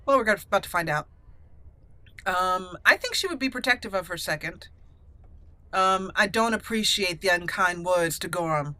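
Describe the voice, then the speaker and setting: A woman's voice: fairly low pitch, expressive, moderate pace, bright, forceful tone, no room sound. A woman hosting a let's play, giving roleplay commentary and slipping into regal in-character lines.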